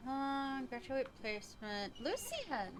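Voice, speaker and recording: A woman's voice: high-pitched, big pitch swings, slow, noisy background. A woman livestreaming a video game, giving casual play-along commentary in an offhand way, often muttering to herself. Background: game music.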